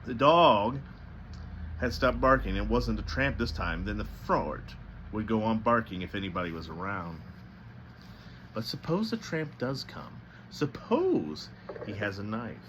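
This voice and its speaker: A man reading a novel aloud as narrator, with a slightly halting delivery that stumbles now and then. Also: fairly low pitch, lively intonation, a bit bright, a little hiss or hum. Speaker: storybook reader.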